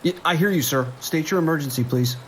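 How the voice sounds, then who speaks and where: A man's voice: a little high, fast, slight background noise, natural voice, low-quality recording. A man playing a desperate police dispatcher under siege in a horror audio drama, talking in urgent, clipped, commanding emergency-radio style.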